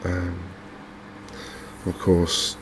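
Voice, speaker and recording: A man with an English accent giving soft-spoken, hesitant, unhurried gameplay commentary in an ASMR style. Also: deep voice, rough recording. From England. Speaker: gaming video host. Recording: clear steady background noise.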